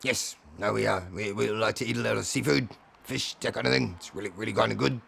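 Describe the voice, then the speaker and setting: A man's voice: fairly low pitch, steady tone, fast talker, vocal fry, a bit bright, slight background noise, voice pushed down. A man voicing a grumpy, indignant male cat who is fussy, sweary and blunt, scolding and repeating himself.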